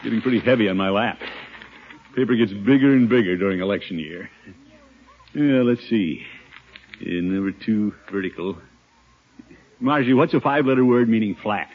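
Pompous, husky American radio uncle. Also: male voice, on the low side, normal speed, pauses often. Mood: genial, settled. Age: middle-aged. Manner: pompous, theatrical chuckles and bluster. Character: pompous, blustering middle-aged uncle, fond but easily flustered. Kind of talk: 1950s radio comedy acting. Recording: muffled old 1950s radio recording.

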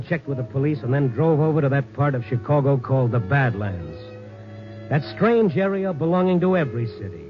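An actor playing a wry, world-weary newspaper night reporter in a 1950s radio drama, with a sardonic, hard-boiled way of storytelling. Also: male voice, on the high side, close to an everyday voice. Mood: grave, measured. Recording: muffled 1950s radio recording, music behind.

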